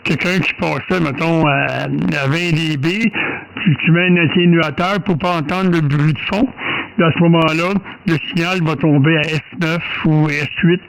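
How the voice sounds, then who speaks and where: Higher-pitched Québécois man with a creaky voice. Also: steady tone, a bit bright, no echo, low-quality recording. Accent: Quebec French. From French-speaking Canada. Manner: halting, searching for words, repeats himself. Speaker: amateur radio operator. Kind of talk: casual explanation on a ham radio contact.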